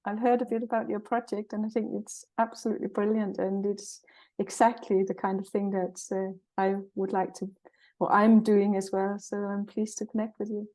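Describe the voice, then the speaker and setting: A woman's voice: medium pitch, level tone, normal speed, warm tone, low-quality recording. A woman in her sixties from Denmark with a Danish accent, chatting cheerfully as a guest and thinking aloud while she speaks. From Jutland, Denmark. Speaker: guest, naturopath and herbalist. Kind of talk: conversational interview.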